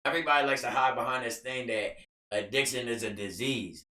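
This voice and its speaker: A man with a loud, raspy voice, a podcast guest talking bluntly, getting hyped and repeating himself in casual chat. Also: mid-range voice, a little fast, reverberant. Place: small studio room.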